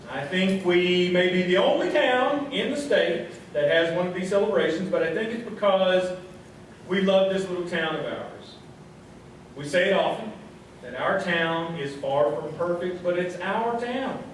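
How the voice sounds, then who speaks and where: A man's voice: sits high, a bit bright. A man hosting a live small-town radio variety show in a folksy style, talking to the folks. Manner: folksy, addresses the audience as folks. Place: live show before an audience. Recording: echoey hall, distant mic, faint crowd noise.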